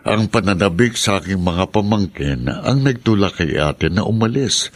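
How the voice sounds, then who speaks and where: An actor playing a calm, consoling middle-aged uncle in a Filipino radio drama. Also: male voice, lowish voice, moderate pace. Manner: calm, consoling, matter-of-fact.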